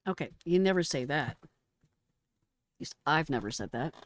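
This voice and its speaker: Blunt American woman, low-pitched, casual. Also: lively intonation, a little slow. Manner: blunt, exclamatory, slangy. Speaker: retired emergency dispatcher reacting to a call recording. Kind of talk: casual reaction commentary.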